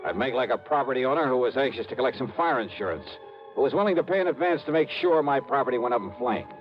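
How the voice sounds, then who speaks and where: An actor playing a hardboiled insurance investigator, narrating wryly in first person in a 1950s radio drama, on a dull old broadcast with music. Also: male voice, medium pitch, animated, fast, lightly acted. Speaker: lead and narrator.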